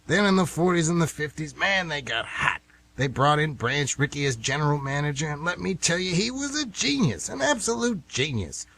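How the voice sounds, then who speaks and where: Man acting an excitable, husky old baseball fan. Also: on the high side, moderate pace, full character voice. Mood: enthusiastic, rambling. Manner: folksy, rambling, emphatic storytelling. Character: excitable nostalgic older American man, lifelong baseball fan. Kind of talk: amateur radio play acting. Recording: amateur radio broadcast.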